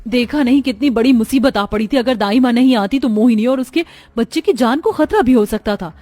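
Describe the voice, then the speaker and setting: A woman's voice: a little high, a little fast, dark tone, no echo, lightly acted. A woman voicing an educated, resolute young city wife in a cartoon story. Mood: concerned, resolute.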